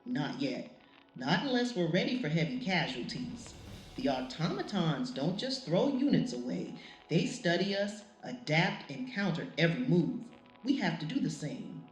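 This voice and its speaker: A woman playing a measured, grave lieutenant and tactical analyst on a scripted wartime radio show. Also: low-pitched, big pitch swings, reverberant, soft background music, poor audio. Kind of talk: scripted radio drama interview.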